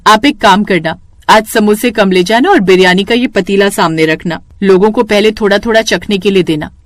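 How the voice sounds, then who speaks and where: A woman's voice: mid-range voice, normal speed, no echo, close to an everyday voice. A woman playing a practical, confident young village wife in a cartoon moral story, explaining things with assurance. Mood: encouraging.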